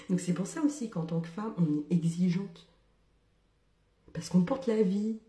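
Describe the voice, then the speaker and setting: Young French woman, very dark mellow tone, wry humor, frequent laughs and whispers. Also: mid-range voice, animated, slow pace, long pauses, echoey room. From metropolitan France. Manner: ironic, laughs often, drops into whispered asides. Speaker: social media creator. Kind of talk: casual monologue to camera.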